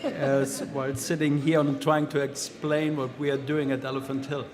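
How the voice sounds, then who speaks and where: A man's voice: on the high side, little pitch movement, moderate pace, a crowd in the background. A man with a German accent, speaking on a wine panel, earnest and plain in his explanations. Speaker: winemaker panelist. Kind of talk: panel discussion.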